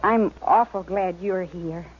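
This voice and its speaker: A woman's voice: fairly low pitch, slow, slight background noise. A woman playing a timid, earnest fifteen-year-old farm girl with a rural American accent in a 1950s radio western. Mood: uneasy, trusting. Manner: hesitant, naive, earnest. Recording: muffled vintage radio recording.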